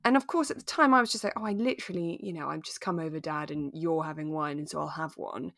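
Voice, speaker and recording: A woman's voice: low-pitched, fast, gravelly, a bit bright. A woman co-hosting a podcast in casual, conversational chat, often acting out little imagined remarks. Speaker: podcast co-host.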